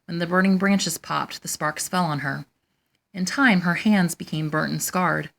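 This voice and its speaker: A woman hosting storytime, reading a children's picture book aloud in a measured, expressive way. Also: lowish voice, gravelly. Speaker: storytime host and reader.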